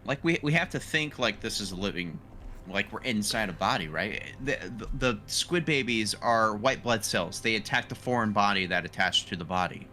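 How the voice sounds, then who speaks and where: A man's voice: lowish voice, lively intonation, moderate pace, sharp tone, a little hiss or hum. A man talking casually as a player in a tabletop roleplaying game. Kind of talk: casual chat.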